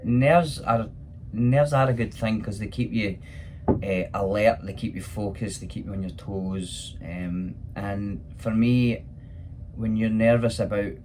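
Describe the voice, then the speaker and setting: A man's voice: on the low side, fairly even intonation, a little slow, noisy background. A man with a Scottish accent who performs in pantomime, answering questions in a casual chat.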